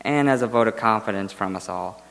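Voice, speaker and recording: An actor playing a courteous, quietly menacing dentist in a radio drama, speaking in a measured, insinuating way. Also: male voice, fairly low pitch, natural voice. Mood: smooth, sly. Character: smooth, quietly menacing male dentist running a suspect practice. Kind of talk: stage radio drama acting. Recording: echoey hall, live stage performance.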